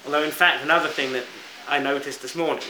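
A man's voice: a little high, steady tone, quick pace, sharp tone. A British man preaching a sermon in an earnest, reflective manner, stressing key words as he goes. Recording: roomy echo, faint background noise.

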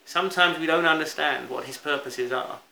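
A man's voice: a little high, fairly quick, gravelly, pushed and bright. A British man preaching a sermon in an earnest, reflective manner, stressing key words as he goes. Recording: roomy echo, faint background noise.

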